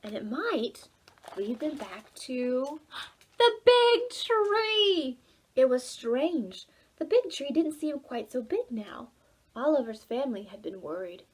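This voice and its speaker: Animated, high-voiced woman reading a storybook. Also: slow pace, breathy edge, poor audio. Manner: animated, dramatic, asks listeners questions. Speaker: children's library storytime reader. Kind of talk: expressive storybook reading for preschoolers.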